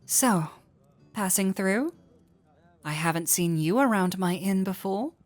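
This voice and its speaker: A flirty fantasy innkeeper, acted by a woman talks intimately to one listener in an audio roleplay. The delivery is unhurried and playful, full of coy teasing, at a medium pitch. Soft music plays underneath. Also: expressive.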